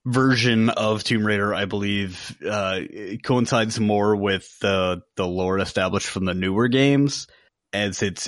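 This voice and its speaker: Low-voiced man in a dry-sounding room. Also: fairly bright tone, no room sound. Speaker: podcast co-host. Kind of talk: casual film review.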